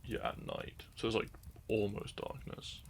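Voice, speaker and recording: A fairly low, gravelly young man in a loose, wandering chat to his listeners, his voice rising and falling a lot. He drifts off track, chuckles at himself, and sips wine between thoughts, with a faint hiss behind him. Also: very animated, measured pace. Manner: meandering, chatty, laughs often, loses his train of thought; sips wine while talking. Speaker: audio content creator. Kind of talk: unscripted casual ramble to listeners.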